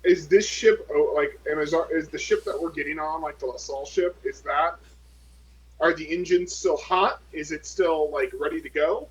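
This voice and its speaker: A man's voice: fairly high pitch, moderate pace, husky, poor audio. A man chatting casually as a player in a tabletop game, insistent and restarting his questions.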